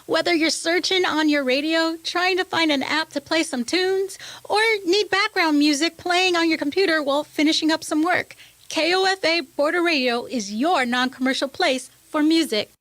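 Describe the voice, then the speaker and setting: Female promo announcer, high pitch, dry studio sound, scripted radio read. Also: normal speed, fairly bright tone, no echo.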